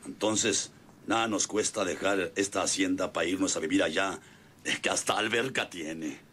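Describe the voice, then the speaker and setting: An actor playing a greedy, boastful, bossy Mexican ranch gang boss in a rural radio soap, blustering and groaning over a bad leg. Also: male voice, deep voice, normal speed, fairly bright tone. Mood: scheming, boastful. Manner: blustering, scolding, groaning with pain. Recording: noisy old radio drama recording.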